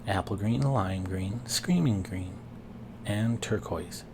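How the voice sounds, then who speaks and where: A man voicing an enthusiastic, encouraging wife in a children's storybook, with emphatic, deliberate words. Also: on the low side, background noise. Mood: delighted.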